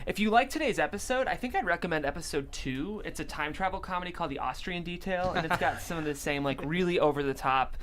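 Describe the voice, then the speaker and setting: A man's voice: fairly high pitch, animated, fast, hardly any pauses, fairly bright tone, slight background noise. A man hosting a panel show in casual talk, chatty and effusive with thanks and praise. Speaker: host of a script table-read show.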